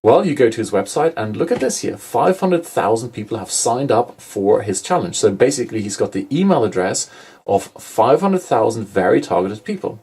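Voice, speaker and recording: A man's voice: medium pitch, very animated, moderate pace, darkish tone, husky. A middle-aged man giving a conversational, emphatic slide presentation, often correcting himself mid-sentence.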